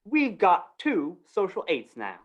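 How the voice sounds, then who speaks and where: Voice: medium pitch, huge pitch swings, slow, highs cut off, heavily acted. An actor playing a gossipy small-town undertaker and justice in an old-time western radio drama, with drawling rural talk. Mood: eager gossip.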